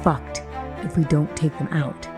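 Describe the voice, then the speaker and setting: A woman playing a reckless, vengeful, hot-headed younger sister in an audio drama, with a husky, creaky voice. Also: sits low, steady tone, unhurried, music in the background. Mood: determined, angry.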